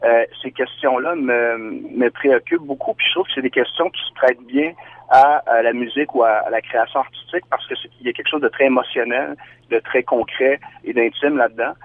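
A man from Quebec with a Québécois accent, a singer-songwriter guest giving thoughtful, measured answers in an interview. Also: medium pitch. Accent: Québécois French. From Quebec, Canada. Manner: thoughtful, measured, explaining ideas at length. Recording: tinny dry phone line.

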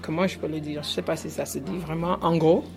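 A woman's voice: sits low, steady tone, fast, vocal fry, darkish tone, soft background music. A woman nurse at a community health centre, explaining health advice in an interview, with hesitant fillers. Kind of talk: street interview answers. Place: indoor open-house event.

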